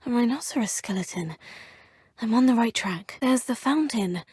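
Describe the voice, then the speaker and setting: A woman's voice: fairly high pitch, animated, moderate pace, darkish tone, breathy edge, no room sound, studio quality. An actress voicing a poised, polite young witch student in a game, proper and lightly teasing. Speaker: player character, student. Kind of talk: game voice lines. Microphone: close studio mic.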